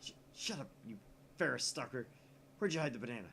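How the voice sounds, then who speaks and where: A man voicing a grandiose, excitable young mad scientist with a pompous, declamatory delivery in a visual novel. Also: medium pitch, fast, creaky, slight background noise. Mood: defensive.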